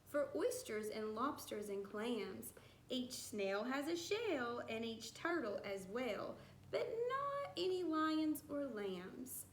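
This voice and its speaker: Woman, primary teacher, children's read-aloud, singsong rhymes, unhurried delivery, echoey room, faint background noise. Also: fairly high pitch, a bit bright. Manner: deliberate, singsong storybook phrasing with playful asides. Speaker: first-grade teacher reading aloud. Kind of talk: read-aloud of a rhyming picture book for young children. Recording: roomy echo with some background noise.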